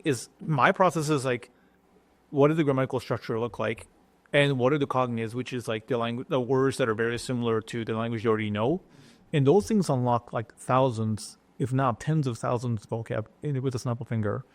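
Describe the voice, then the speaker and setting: A middle-aged man from Japan speaks English with a Japanese accent, halting and full of fillers as he explains things in a relaxed interview. His voice sits at an ordinary pitch. The sound is dry and close, with no room echo. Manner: halting, many fillers and restarts. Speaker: chef and guest. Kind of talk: casual interview talk.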